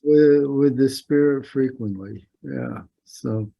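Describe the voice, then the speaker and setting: Very slow, halting man. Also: medium pitch, creaky, warm tone. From New Jersey, United States. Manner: halting, many fillers and restarts. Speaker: meeting participant. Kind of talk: casual self-introduction.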